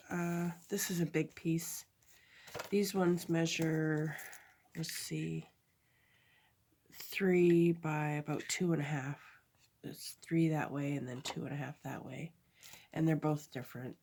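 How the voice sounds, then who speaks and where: A woman with a stuffy nose from a cold, chatting through a craft tutorial at her table, halting often and correcting herself. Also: low voice, slight background noise. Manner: halting, chatty, many fillers and self-corrections. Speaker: crafter demonstrating a project.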